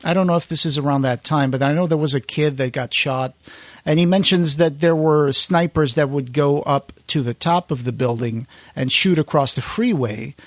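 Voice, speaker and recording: A man's voice: on the high side, normal speed, dry recording. A man hosting a podcast, casually recounting film history in a conversational storytelling way and quoting people as he goes. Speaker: podcast host.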